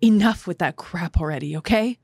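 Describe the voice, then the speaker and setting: A man's voice: brisk, vocal fry, darkish tone, no room sound. A man voicing a blunt, sardonic young woman soldier in a raised, dry and mocking tone. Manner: low-key, dry and mocking.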